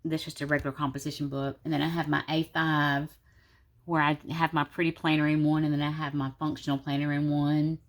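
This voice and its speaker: Sick, tired woman, gravelly low voice. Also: little pitch movement, brisk. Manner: tired, low-energy, rambling. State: sick, congested, sore throat. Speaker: planner vlogger. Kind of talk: casual vlog talk to viewers. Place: home desk, indoors.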